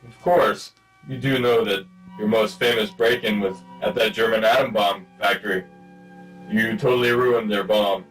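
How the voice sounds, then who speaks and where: An actor playing a stern, self-important secret service chief in a comic spy radio play. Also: male voice, on the low side, steady tone, moderate pace, fairly bright tone, far from the mic, faint music behind, lightly acted, poor audio. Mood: official, exasperated.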